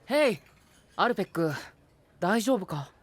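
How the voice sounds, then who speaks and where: A man playing an earnest, gentlemanly young knight with formal wording and English exclamations in an anime-style audio drama. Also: high-pitched, lively intonation, unhurried, faint music behind. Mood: concerned, impressed.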